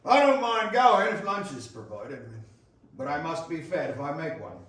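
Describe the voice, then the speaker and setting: A man's voice: fairly high pitch, very animated, moderate pace, a bit bright. A man playing a nosy, self-serving businessman in a readers theater drama, emphatic, in an echoey room. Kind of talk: readers theater stage acting. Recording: echoey room, distant mic.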